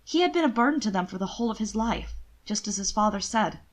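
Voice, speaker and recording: Woman, medium pitch, expressive story narration, amateur audiobook reader, scripted. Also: quick pace. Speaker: amateur fan fiction narrator.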